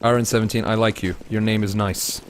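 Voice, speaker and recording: A young man casting an esports match, laid-back and tired between plays, rising to fast, excited calls when the action heats up. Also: fairly low pitch, a little hiss or hum. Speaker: esports caster. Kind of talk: live esports commentary mixed with chatting to viewers.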